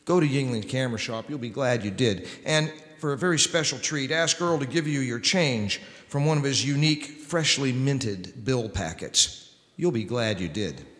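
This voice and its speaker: Middle-aged male host, medium pitch, old-time radio sponsor reads, big echoing hall. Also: animated, moderate pace, a bit bright. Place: stage in a wood-furnished hall. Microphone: microphone on a stand. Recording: reverberant hall.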